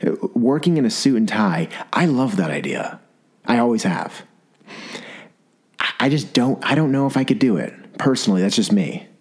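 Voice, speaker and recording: A man's voice: mid-range voice, moderate pace, husky. A young adult man hosting a podcast with a scratchy, sore-throat voice, talking through a rambling comic monologue with lots of restarts. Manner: rambling, animated storytelling with repeated restarts. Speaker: podcast host. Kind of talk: comedic solo monologue.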